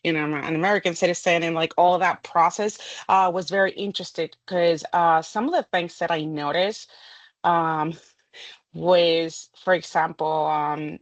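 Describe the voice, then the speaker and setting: A woman's voice: fairly low pitch, fairly even intonation, normal speed, no room sound. A woman psychologist from Puerto Rico answering interview questions thoughtfully, with many asides. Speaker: psychologist guest.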